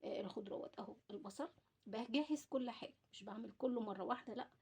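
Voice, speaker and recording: A woman's voice: a little high, quick pace, darkish tone. A woman with an Egyptian Arabic accent giving a casual, chatty cooking demonstration, explaining things directly to her viewers. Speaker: home cooking vlogger. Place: at home while preparing food. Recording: clearly noisy home recording with clicks.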